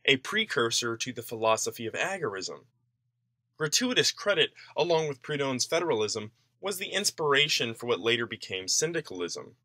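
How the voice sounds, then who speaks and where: A man's voice: medium pitch, pushed and bright, no room sound, studio quality. A man reading a written essay aloud in a formal, declarative way, as scripted narration. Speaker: reader of an essay.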